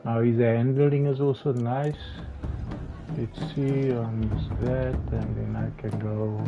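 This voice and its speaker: A slightly hoarse man commentating his game live, talking haltingly with many restarts and fillers. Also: mid-range voice, little pitch movement. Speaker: game streamer. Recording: tinny phone-like sound with faint music.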